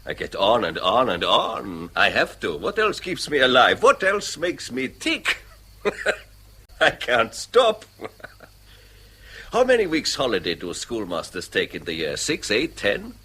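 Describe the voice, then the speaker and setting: An actor playing a jovial, self-mocking rich businessman in a radio play, blunt and expansive with a husky voice. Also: mid-range voice, very animated, unhurried, pushed and bright, slight background noise. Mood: boisterous, self-mocking. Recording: radio drama recording.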